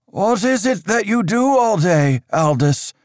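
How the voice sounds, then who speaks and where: A man voicing a fearful old priest whose shrill voice quivers as he preaches and accuses. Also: sing-song, measured pace, dry recording, studio quality. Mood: stern, worried. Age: older.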